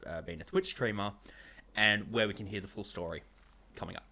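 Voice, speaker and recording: A man's voice: fairly low pitch, a little fast, bright, forceful tone. A man in his thirties asking questions as host of a casual interview. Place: small home streaming room, indoors.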